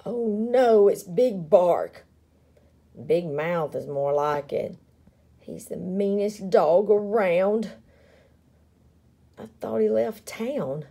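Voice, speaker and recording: A woman voicing small, excitable prairie dogs who chatter and exclaim eagerly in a picture-book reading. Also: medium pitch, drawn-out words, creaky, pauses often, exaggerated voice. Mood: wary.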